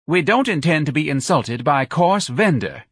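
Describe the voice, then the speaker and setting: A man's voice: fairly high pitch, expressive, normal speed, breathy edge, no echo, clean studio sound, pitched above the performer's own voice. A man voicing a haughty, offended society lady painter in an audiobook reading. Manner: haughty, tart.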